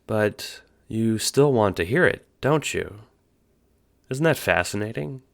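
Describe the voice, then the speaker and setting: A man's voice: fairly low pitch, lively intonation, slow pace, creaky, voice pushed up, exaggerated voice. A man playing a sly, theatrical puppet-master narrator who toys with listeners in an audio drama. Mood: gleeful, taunting. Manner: teasing, mock-cheerful, swooping between hushes and exclamations. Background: music.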